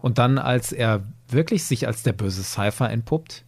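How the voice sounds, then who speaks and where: A man talking casually as a podcast guest, opinionated and expressive in how he makes his points. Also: mid-range voice, unhurried, vocal fry. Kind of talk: casual discussion.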